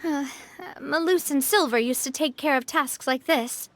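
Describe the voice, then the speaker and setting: A woman playing a gracious, upbeat young female boss in a video game, speaking in a polite, friendly and expressive way. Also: sits high, a little slow, slight background noise. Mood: flustered, thoughtful. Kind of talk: animated video game voice acting. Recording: soft game music behind.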